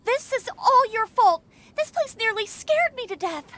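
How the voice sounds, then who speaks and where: A woman's voice: very high voice, expressive, a little slow, noisy background. An actress playing a frightened, snappish young woman in a video game, defensive and whiny in manner. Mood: scared, irritated.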